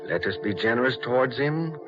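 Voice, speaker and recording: An actor playing a pious, solemn reverend giving a funeral oration with oratorical delivery, in a 1940s radio drama with music behind him. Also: male voice, medium pitch, expressive, fairly quick, natural voice. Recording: dull 1940s radio recording.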